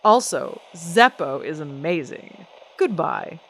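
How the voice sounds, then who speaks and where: Actress as a low-voiced, poised starlet. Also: female voice, very animated, slow pace, creaky, fairly bright tone, slight background noise, heavily acted. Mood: sly. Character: poised, vengeful female singing celebrity, coolly defiant. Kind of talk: old-time radio drama acting.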